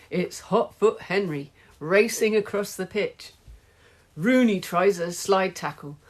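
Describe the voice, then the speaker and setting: A woman reading a children's story, voicing an excited male sports commentator with breathless, rising play-by-play. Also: mid-range voice, husky.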